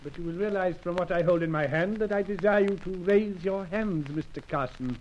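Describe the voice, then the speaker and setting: A man's voice: high-pitched, brisk, darkish tone, natural voice. An actor playing a polite, menacing gunman in a vintage radio thriller, with formal, coolly courteous speech. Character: polite, menacing male villain holding a gun. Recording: muffled old radio recording, faint noise.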